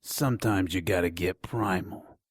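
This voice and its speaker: A man's voice: sits low, normal speed, no echo, clean studio sound. A man playing a crude, taunting nonhuman pawn shop dealer in an audio drama. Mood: scornful, sly. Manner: taunting, contemptuous.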